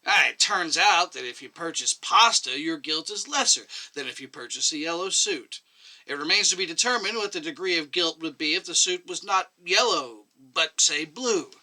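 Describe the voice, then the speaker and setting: Man acting a sarcastic, forceful elderly doctor. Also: on the high side, expressive, pitched above the performer's own voice, low-quality recording. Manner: mocking, emphatic, drawn-out argument. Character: sarcastic elderly male doctor, needling and argumentative.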